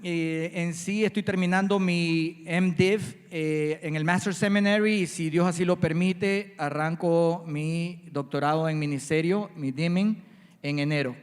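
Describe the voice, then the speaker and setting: A man's voice: on the high side, steady tone, a little slow. A man from Ecuador, introducing himself as a church panelist. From Guayaquil, Ecuador. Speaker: panelist, church ministry leader. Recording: reverberant hall sound.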